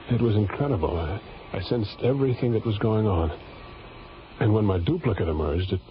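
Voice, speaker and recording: An actor playing a male professor who talks in halting, earnest bursts in an old radio drama. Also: low voice, slight background noise, lightly acted. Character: male professor, shaken but awed. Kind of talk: 1970s radio drama acting. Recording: dull old radio recording.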